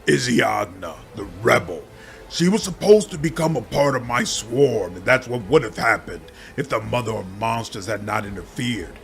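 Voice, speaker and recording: A man voicing a wrathful, devouring male demon lord of a swarm, loud and menacing, as a game villain. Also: medium pitch, expressive, moderate pace, creaky. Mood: resentful.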